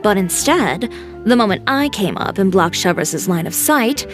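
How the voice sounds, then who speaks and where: A woman playing a composed, direct, sharp-minded young informant in a video game. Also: medium pitch, lively intonation, measured pace, close to an everyday voice. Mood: cool, questioning. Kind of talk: video game cutscene acting. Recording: clear music behind the voice.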